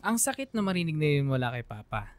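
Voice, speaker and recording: A man's voice: fairly high pitch, very animated, fast, soft background music. A man giving dramatic, scripted first-person narration for a radio drama in a heartfelt, emotional manner.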